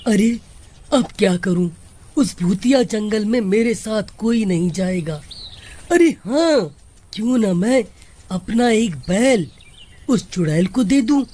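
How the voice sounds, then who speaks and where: A woman's voice: mid-range voice, lively intonation, takes it slowly, dark tone. A woman voicing a greedy, cowardly male village farmer in a Hindi cartoon, with a wheedling, exaggerated manner. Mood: worried, then greedy glee.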